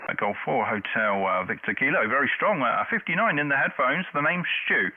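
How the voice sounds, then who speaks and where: A man in middle age hosts a ham radio net, trading call signs and reports in a chatty way. His voice has a medium pitch and comes through thin, narrow-band audio. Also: expressive, fairly quick. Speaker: amateur radio net controller. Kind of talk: radio contact chat in call-sign jargon. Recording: narrow, phone-like dull audio.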